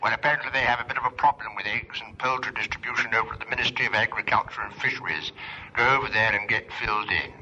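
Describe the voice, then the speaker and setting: A man's voice: medium pitch, steady tone, fast talker, bright, forceful tone, slight background noise. A man playing a brusque, blustering senior boss speaking over the telephone. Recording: dull old radio recording.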